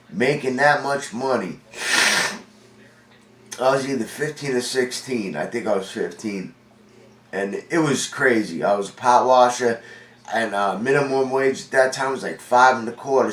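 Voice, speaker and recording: A forty-year-old man from Long Island, congested, telling his own story in a rambling, profane, streetwise way. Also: mid-range voice. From Long Island, New York. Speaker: vlogger. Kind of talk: casual storytelling monologue. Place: indoor room with a window. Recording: roomy, distant from mic.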